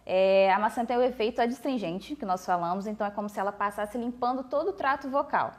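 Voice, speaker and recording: A woman's voice: a little high, quick pace. A woman with a Brazilian Portuguese accent, a speech therapist explaining voice care on a TV talk show in a patient way. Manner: patient, explanatory, uses many fillers like "né" and "tá". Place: TV studio.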